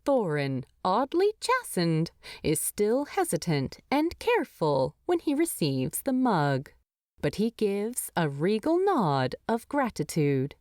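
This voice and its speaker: A woman's voice: mid-range voice, huge pitch swings, slow pace, a little mellow, dry recording, clean studio sound. A woman reading a scripted story aloud as its narrator. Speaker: fan fiction narrator.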